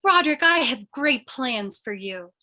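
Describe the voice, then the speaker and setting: A bold, quick-witted dame from an old-time radio thriller, played by a woman, with a high, sharp voice that swings widely in pitch. She is theatrical and persuasive, a schemer who knows how to work on people. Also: animated, exaggerated voice. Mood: brazen, seductive. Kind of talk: 1940s radio comedy-thriller acting.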